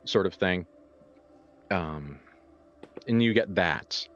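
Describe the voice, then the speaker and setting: A man improvising horror narration as a tabletop game master, halting and informal as he searches for words. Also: low voice, fairly bright tone, dull audio, faint music behind.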